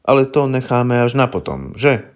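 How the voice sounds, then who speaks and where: A man's voice: medium pitch, very animated, clear, resonant tone, lightly acted. A man voicing a polite, candid police investigator in an audiobook, asking questions in a deferential, coaxing, colloquial way. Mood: coaxing, confiding. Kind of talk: audiobook character voice.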